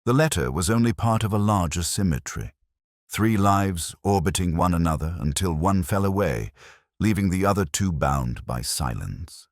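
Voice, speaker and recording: A man giving scripted first-person detective narration in a measured, reflective, solemn manner. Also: sits low, lively intonation, dry recording, clean studio sound.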